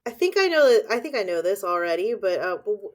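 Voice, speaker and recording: A woman's voice: medium pitch, sing-song, a little fast. A woman hosting a podcast, asking direct questions bluntly, swearing freely and laughing. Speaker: podcast host. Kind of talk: casual conversational interview.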